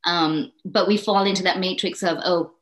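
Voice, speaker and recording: Woman, forties, low voice, lively casual chat, frequent laughter, thinking-aloud ums. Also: normal speed. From Hong Kong. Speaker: guest, parenting coach.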